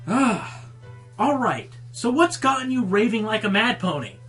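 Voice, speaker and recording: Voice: mid-range voice, sing-song, unhurried, fairly bright tone, faint music behind. An actor playing an exasperated pony radio show host in a comedic radio play, theatrical, incredulous and sarcastic. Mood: dry, teasing.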